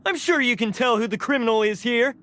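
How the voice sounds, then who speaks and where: A man's voice: very high voice, brisk, background noise. An actor playing a grave, bitter, proud young male oni in a video game, with an intense, solemn and dramatic delivery. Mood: anguished, resigned.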